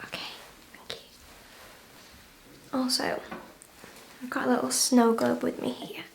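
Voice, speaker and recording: A woman speaking in a soft, breathy whisper, gently reassuring and instructing the listener as a nurse in an ASMR medical exam roleplay. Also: a little high, big pitch swings, slow pace, slight background noise, poor audio. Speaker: ASMR creator playing a nurse.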